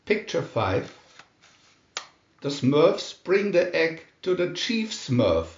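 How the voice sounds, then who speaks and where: A man narrating a scripted children's storybook in a deliberate, expressive storytelling manner. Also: on the high side, echoey room, dull audio.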